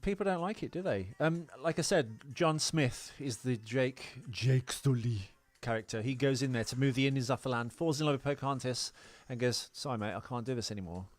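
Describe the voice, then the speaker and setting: A man's voice: medium pitch, normal speed, hardly any pauses. A man hosting a podcast, explaining things in casual, digressive film chat. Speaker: podcast host.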